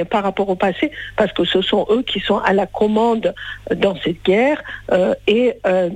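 A woman from Iran answering in an interview, grave and earnest, pausing often with filler sounds between phrases. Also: medium pitch, no room sound, poor audio. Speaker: interview guest.

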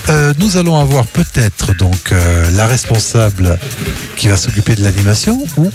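A man's voice: on the low side, big pitch swings, moderate pace. A man hosting live at a racecourse, commenting in a jovial, informal, bantering way. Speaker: racecourse announcer and host. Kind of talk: live event commentary, casual chat. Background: music.

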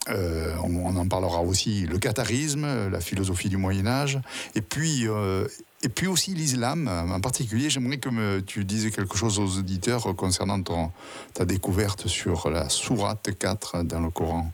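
A man's voice: low-pitched, moderate pace. A man hosting a radio interview, asking friendly leading questions and restating his guest's answers. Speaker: radio host.

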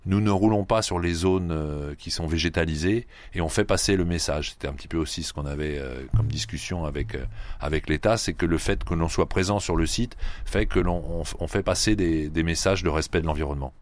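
A man from the Paris region, a club head being interviewed on radio, who pauses often with fillers and restarts. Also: low voice, dry recording. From Paris region, France. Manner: hesitant, many fillers and restarts. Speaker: guest, sand yachting club head.

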